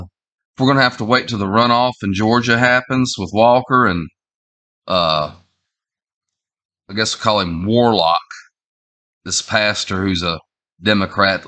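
A man in his forties with a head cold, his voice stuffy and nasal. He talks in a rambling, halting way, full of fillers and restarts, and his lowish voice has a forceful, bright edge. He is recorded on a webcam in a small room at home. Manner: rambling, halting, many fillers and repeated starts. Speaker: online commentator. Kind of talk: casual opinion vlog.

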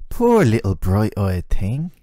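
A man's voice: lowish voice, huge pitch swings, takes it slowly, dark tone, no room sound, studio quality, full character voice. Man voicing a flirty, swooping, sardonic demon. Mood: mock sympathy. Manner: teasing, casual and slangy, intimate. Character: flirty, sardonic, ageless male demon playing tempter. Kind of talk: roleplay audio acting addressed to the listener.

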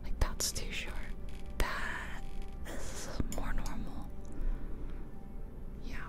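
A woman's voice: drawn-out words, loud music behind the voice. A woman whispering casual ASMR game commentary close to the microphone, unhurriedly thinking aloud. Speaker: ASMR video creator. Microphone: close ear-to-ear ASMR microphone.

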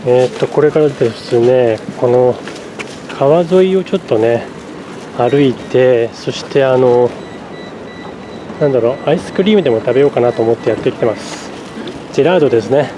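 A man talks through his day as a travel vlogger, thinking aloud with plenty of fillers. His voice sits at an ordinary middle pitch. Street and traffic noise from outdoors is clearly heard behind him. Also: moderate pace. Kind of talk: casual travel vlog narration. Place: outdoors on city streets and in a cafe.

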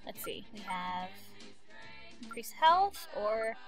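A young woman giving playful, excitable, singsong commentary as she hosts a casual game playthrough. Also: mid-range voice, takes it slowly. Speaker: gaming video host. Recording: game music behind the voice.

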